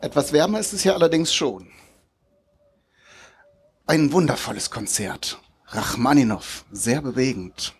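A man's voice: medium pitch, measured pace, long pauses, breathy edge. A man playing a mysterious, teasing Russian childhood friend in a live stage audio drama. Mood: charming, reserved.

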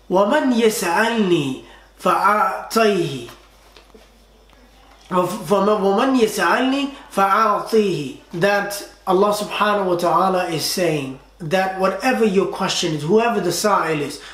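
An imam delivers an earnest Friday sermon in a fairly high voice. He repeats key phrases for emphasis and recites Arabic passages quickly. His voice rings through an echoey prayer hall. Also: a bit bright. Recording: echoey room.

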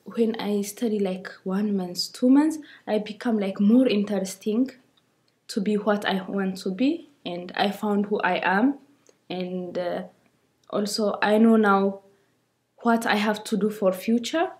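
A sixteen-year-old young woman with an Ethiopian accent, giving a personal account in halting, simple English. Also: mid-range voice, a little mellow. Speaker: school student.

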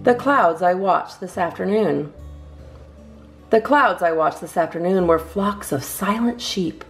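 A low-voiced woman reads poems aloud to children with theatrical emphasis. Her intonation swings widely and her voice has a creaky edge. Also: animated, unhurried, faint music behind. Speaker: storytime host reading a picture book.

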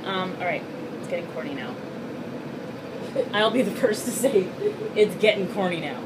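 A woman's voice: lowish voice, lively intonation, moderate pace. A woman in her thirties chatting casually as a vlog host in a hyper, teasing, bossy way. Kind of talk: casual, playful vlog chat. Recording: distant mic, loud room hum.

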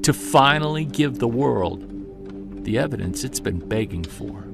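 A man playing a driven, defiant Bigfoot hunter narrating an audio-drama journal with earnest intensity and emphatic pauses. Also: medium pitch, animated, vocal fry, loud music behind the voice. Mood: defiant, determined.

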